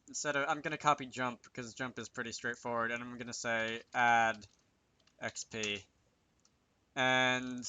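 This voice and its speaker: Bright-voiced man thinking aloud through a tutorial. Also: mid-range voice, smooth. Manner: thinks aloud, hesitant and halting. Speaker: game developer presenting a tutorial series. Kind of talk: unscripted screen-recorded programming tutorial.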